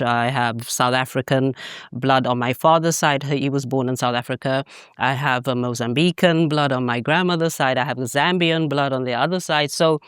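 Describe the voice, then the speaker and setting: A middle-aged woman from Zimbabwe, introducing herself as a podcast guest in an unhurried way with frequent ums. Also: a bass voice, clear, resonant tone, no echo, clean studio sound. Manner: warm, hesitant, many ums. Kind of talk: conversational self-introduction.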